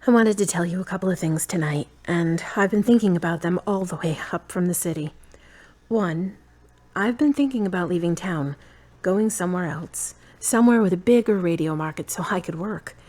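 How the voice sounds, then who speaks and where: Low-pitched, frank young-hearted woman played by an actress. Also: moderate pace, a little mellow, lightly acted. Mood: frank, caring. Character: frank, caring woman, tired but gently teasing. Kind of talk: hobby audio drama acting.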